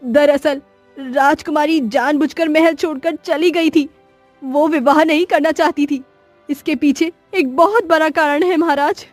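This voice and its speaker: A woman's voice: high voice, measured pace, no echo, faint music behind. A woman voicing a nervous, apologetic palace maid in a cartoon story, earnest and deferential. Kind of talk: Hindi cartoon story voice acting.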